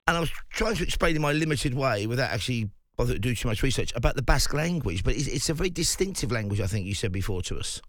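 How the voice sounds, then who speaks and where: Brisk fast-talking radio host. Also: male voice, mid-range voice, expressive, no echo. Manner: brisk, jokey presenter. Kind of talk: live radio talk show. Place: radio studio.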